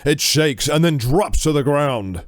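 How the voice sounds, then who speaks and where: Higher-voiced male drama narrator. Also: expressive, measured pace, no echo, clean studio sound. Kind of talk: scripted audio drama narration.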